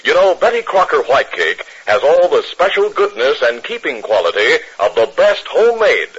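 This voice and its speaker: A man's voice: a little high, sing-song, normal speed, a bit bright, husky. An American man announcing and narrating in a polished, dramatic 1950s radio style. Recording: very noisy, muffled 1950s radio recording.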